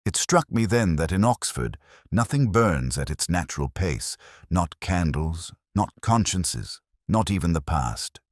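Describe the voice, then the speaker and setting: A man reading scripted first-person narration of a detective mystery in a measured, brooding, literary manner. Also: low voice, very animated, no echo, clean studio sound. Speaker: audio drama narrator.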